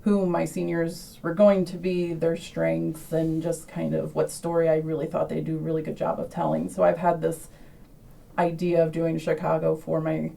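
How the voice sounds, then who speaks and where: A woman who directs the school musical, explaining her planning in a measured way with some ums, recorded away from the mic. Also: low-pitched, flat delivery, creaky, mellow, distant mic. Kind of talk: thoughtful interview answer.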